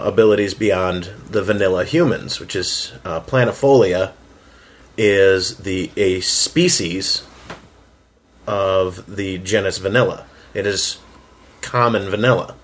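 A man with a fairly low voice talks in a relaxed round-table setting. He explains his writing in a casual way, often halting and hesitating as he picks his words. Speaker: fiction writer on a round-table panel.

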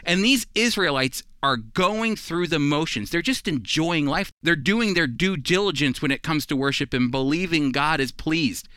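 A man hosts a radio Bible program, teaching in an earnest, sermon-like way. His voice is bright and forceful and sits a little high. He stresses key words emphatically while staying conversational, and the sound is close and dry. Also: normal speed, hardly any pauses, smooth, no room sound.

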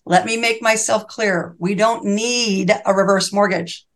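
A woman's voice: medium pitch, fairly even intonation, unhurried. A woman in her sixties, a reverse mortgage specialist guesting on an interview show, talking in earnest, fervent, run-on sentences that she often restarts. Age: 60s. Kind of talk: interview conversation.

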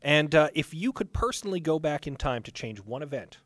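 A man's voice: fairly high pitch, very animated, a little fast, fairly bright tone, dry recording. A man hosting a podcast, chatting casually as he interviews a guest at a live panel. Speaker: podcast host. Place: live panel with a small audience.

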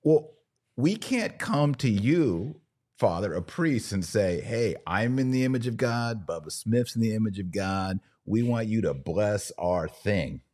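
A man's voice: lowish voice, expressive, measured pace, a little mellow. A man hosting a podcast, talking conversationally and arguing a point emphatically through hypothetical examples. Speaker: podcast host.

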